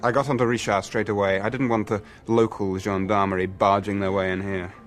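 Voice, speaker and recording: Guy as a fussy restaurant boss in a radio play, low voice, fretful and put out. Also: little pitch movement, fairly quick, close to an everyday voice. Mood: agitated. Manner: fretful, exasperated. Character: fussy, aggrieved male restaurant owner, anxious for discretion. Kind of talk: radio drama acting.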